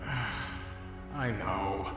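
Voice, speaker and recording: A man's voice: lowish voice, drawn-out words, a bit bright. Comic-dub role: protective, laid-back skeleton brother man, dry understated casual talk, long hall reverb and faint music. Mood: resigned, fond.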